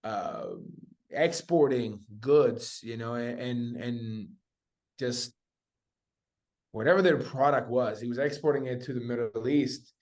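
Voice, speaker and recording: A man's voice: medium pitch, takes it slowly, darkish tone. A young man from Spain chatting casually as an interview guest, telling personal stories in slangy, sometimes profane language. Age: young adult. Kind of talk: casual chat, personal storytelling.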